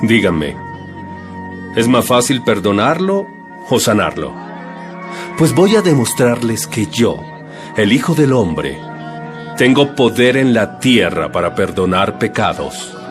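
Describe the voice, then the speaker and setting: Man acting calm authoritative male teacher, solemn, expressive intonation, audio Bible drama, music behind. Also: on the low side, takes it slowly, pauses often. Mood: firm.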